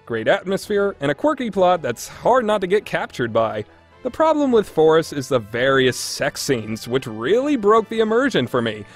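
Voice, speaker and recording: A man delivering a scripted review narration in an opinionated, emphatic way. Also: high-pitched. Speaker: reviewer, list host.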